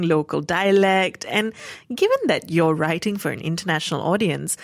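Woman interviewer, chatty and friendly, lowish voice. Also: no room sound, studio quality. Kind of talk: casual interview questions.

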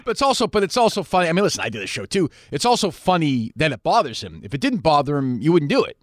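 A man's voice: on the high side, sing-song, quick pace, no echo. A man hosting a casual conversational interview, blunt and freely profane in the way he talks. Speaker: interview show host.

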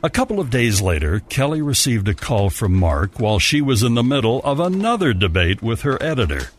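A man's voice: medium pitch, big pitch swings, brisk. A man narrating a scripted holiday story as a storyteller. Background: music.

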